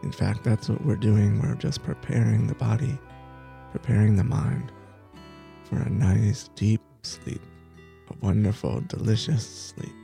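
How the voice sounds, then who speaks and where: Slow gravelly-voiced man guiding sleep meditation. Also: lowish voice, fairly even intonation, soft background music. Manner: slow, soft, soothing guidance with repeated phrases. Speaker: meditation teacher.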